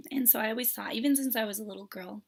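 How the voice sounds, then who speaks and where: A woman parenting instructor, hoarse from a sore throat, talking earnestly to camera with many pauses and fillers. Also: a little high. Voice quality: raspy. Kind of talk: casual talk to camera.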